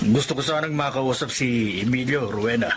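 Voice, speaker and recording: Man acting a halting, hard-up older brother. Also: medium pitch, loud music behind the voice, close to an everyday voice. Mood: sheepish, pleading. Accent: Filipino. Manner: halting, sheepish. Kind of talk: Filipino audio drama acting.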